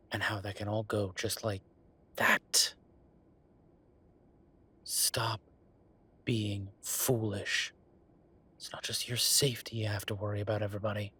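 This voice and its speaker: A man's voice: fairly low pitch, level tone, measured pace, long pauses, sharp tone, slightly rough, exaggerated voice. A man playing an affectionate, teasing werewolf bureaucrat in an intimate romantic audio roleplay, speaking conversationally with murmured asides. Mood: reluctant, weary, then earnest, musing. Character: Viktor, affectionate teasing male werewolf bureaucrat, weary but playful.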